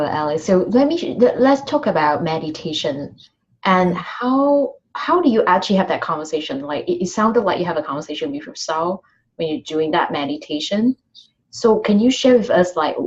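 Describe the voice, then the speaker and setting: A woman's voice: fairly low pitch, expressive, moderate pace. A woman hosting an interview, asking questions hesitantly with many fillers and restarts. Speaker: host, interviewer. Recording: dull, muffled lo-fi sound.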